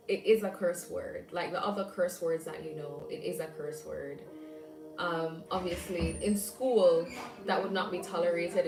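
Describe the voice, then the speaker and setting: Young Jamaican woman, lower voice, casual chatty stream host; sounds a bit distant, with music clearly under her. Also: roomy sound. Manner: chatty, answers viewer comments aloud. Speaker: livestream host. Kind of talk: casual livestream chat.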